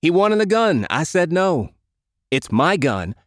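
A man's voice: a little high, big pitch swings, unhurried, no room sound, studio quality, voice pushed up. A man voicing a cool, aloof, self-interested young gang leader in an audiobook. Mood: bossy. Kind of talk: audiobook character dialogue.

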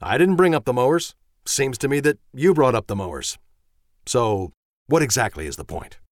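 A man playing a defensive, condescending husband who lectures curtly in a low voice. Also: animated, a little slow, dry recording, studio quality. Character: defensive pompous middle-aged husband.

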